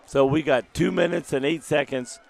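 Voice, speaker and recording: Gravelly deep-voiced small-town football announcer. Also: male voice, normal speed, some chatter behind. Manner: folksy, excitable on big plays. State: recovering from lost voice. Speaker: play-by-play announcer. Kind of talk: live local football commentary. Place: outdoor stadium press box. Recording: outdoor stadium broadcast.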